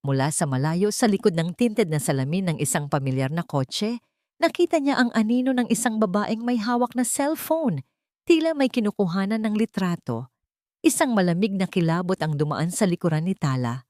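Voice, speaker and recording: A woman's voice: medium pitch, animated, normal speed, darkish tone, no room sound, clean studio sound. A woman storyteller reading a scripted romantic drama in a solemn, emotive way.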